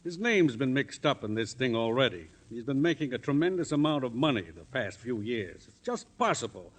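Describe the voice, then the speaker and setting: An actor playing an anxious, earnest town official in a 1950s radio drama, speaking deliberately and nervously. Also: male voice, mid-range voice, big pitch swings. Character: anxious, earnest male town official, protesting his honesty.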